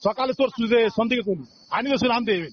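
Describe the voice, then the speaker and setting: A man's voice: extremely high pitch, rapid-fire, a little hiss or hum. A man with a Sambalpuri accent argues on a recorded phone call, protesting in short, repetitive replies. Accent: Sambalpuri (western Odisha). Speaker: caller in a family dispute.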